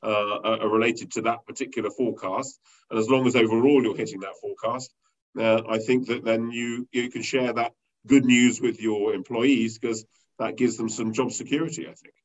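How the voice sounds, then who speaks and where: A man leading a company, talking through an interview in a rambling way with many fillers and restarts, with a loud voice. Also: mid-range voice, fairly even intonation, moderate pace. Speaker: company leader interviewed as guest. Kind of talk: conversational business interview. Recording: dull lo-fi sound.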